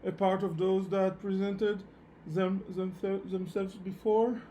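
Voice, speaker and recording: A man with a fairly high voice teaches programming live online, speaking English as a non-native language. His delivery is slow and halting, with words often repeated. Faint noise sits behind his voice. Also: flat delivery, warm tone. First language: not English. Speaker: programming instructor. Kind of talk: live online technical lecture. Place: online meetup session at a computer.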